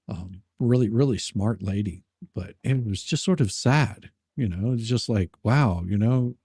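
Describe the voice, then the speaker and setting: A man telling personal anecdotes in casual chat, halting and rambling as he repeats his words. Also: lowish voice, mellow, breathy edge, no room sound, studio quality.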